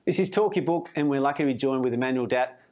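A man's voice: mid-range voice, quick pace, darkish tone. A man hosting a podcast, asking long, looping interview questions. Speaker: podcast host.

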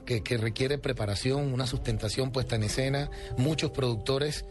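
A man's voice: mid-range voice, moderate pace, over music. A man, a Colombian singer, talks expansively and with enthusiasm about his album in a radio interview. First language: Spanish. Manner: expansive, enthusiastic, lists songs. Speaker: singer guest.

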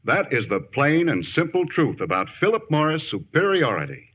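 Bright, husky 1950s male radio announcer. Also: a little high, big pitch swings, normal speed. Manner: emphatic, formal salesmanship. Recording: vintage 1950s radio broadcast.

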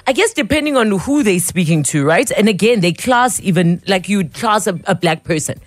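A woman with a South African accent hosting a talk radio show, chatty and quick to laugh. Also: medium pitch, lively intonation, no echo. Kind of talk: casual talk radio chat. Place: radio studio.

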